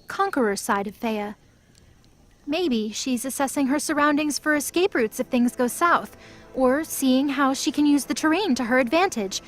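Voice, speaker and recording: High-voiced woman narrating a story. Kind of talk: scripted present-tense story narration.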